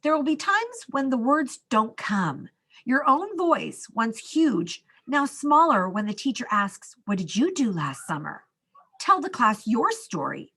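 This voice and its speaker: A woman reading a children's picture book aloud as a school storyteller, expressively, with dramatic pauses and drawn-out words. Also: on the high side, big pitch swings, sharp tone, breathy edge.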